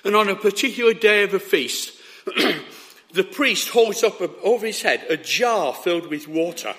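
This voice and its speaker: A man preaching a sermon with a hoarse, sore throat, emphatic and often checking that his listeners are following. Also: sits high, expressive, measured pace, sharp tone.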